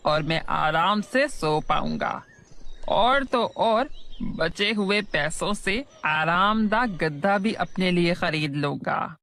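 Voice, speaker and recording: A woman voicing a stubborn, self-centred young brother with a blunt, deliberate delivery in a children's cartoon moral story. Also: on the low side, expressive, a bit bright, heavily acted. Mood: self-satisfied.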